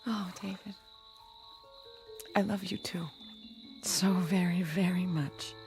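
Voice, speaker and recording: A woman's voice: on the low side, fairly even intonation, takes it slowly, darkish tone, soft background music. An actress playing an impulsive, ardent young bride-to-be in a radio drama, earnest in her delivery. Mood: tender, confiding. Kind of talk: dramatic radio play acting.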